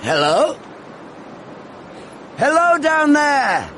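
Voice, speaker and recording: A man's voice: very high-pitched, huge pitch swings, drawn-out words, a bit bright, slight background noise, exaggerated voice. An actor playing a brooding, unhinged man who mutters a wistful, bitter monologue in a radio drama.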